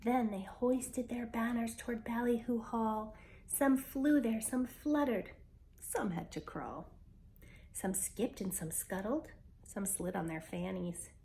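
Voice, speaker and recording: A woman reading a children's picture book aloud with expressive, dramatic storytelling delivery. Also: a little high, takes it slowly, creaky, slight background noise.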